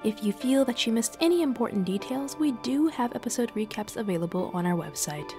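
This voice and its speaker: A woman's voice: a little high, expressive, a little fast, over music. A woman reading a scripted podcast announcement as its host, addressing listeners directly.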